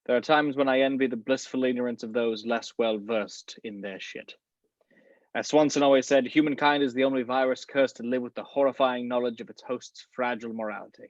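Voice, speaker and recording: A man reading a suave, polished gentleman spy in an online table read, with a measured, refined delivery. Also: mid-range voice, fairly even intonation, no room sound, lightly acted. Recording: dry, dull home mic.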